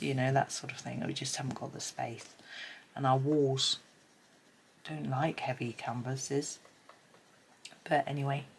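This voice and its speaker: A woman's voice: deep voice, fairly bright tone, a little hiss or hum. A middle-aged British woman with a stuffy, blocked nose, chatting casually and rambling with many ums while she colours. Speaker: colouring channel host.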